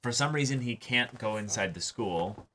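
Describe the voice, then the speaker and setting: A man's voice: mid-range voice, expressive, moderate pace, pushed and bright, slight background noise. A man chatting casually as he hosts a reaction show, talking emphatically and swearing freely. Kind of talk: casual discussion and commentary of an anime episode.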